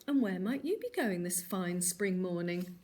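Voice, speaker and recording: A woman voicing an eager, bumbling young male wolf who gets squeaky when scared. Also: sing-song, measured pace, a little mellow. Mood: fake innocent.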